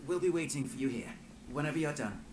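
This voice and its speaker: A man plays an earnest young craftsman in a fantasy game, polite and deferential. His voice sits fairly high and moves animatedly. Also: animated, fast talker, background noise, lightly acted. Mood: gentle. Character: eager, deferential young male artisan twin. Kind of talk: dramatic video game dialogue.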